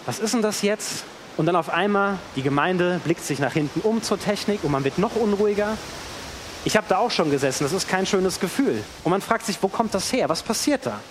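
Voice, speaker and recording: A man's voice: high-pitched, a little hiss or hum. A man preaching a sermon in a church, telling the story in a vivid way and acting out what people say. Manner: animated storytelling with acted-out quotes. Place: church hall. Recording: long church hall reverb.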